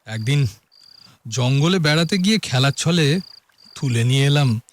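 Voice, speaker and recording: An actor playing a proud hunter and host who relishes his tales, in measured, suspenseful audio drama storytelling. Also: medium pitch, lively intonation, slight background noise, lightly acted. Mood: suspenseful, boastful. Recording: music behind, chirping crickets.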